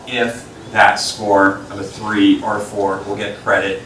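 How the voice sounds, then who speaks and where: A male teacher explains things to parents in a relaxed, informal way, with a low voice. He is picked up from across an echoey room with a faint hiss. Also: a little slow. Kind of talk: addressing parents at a school open evening. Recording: echoey classroom from far off.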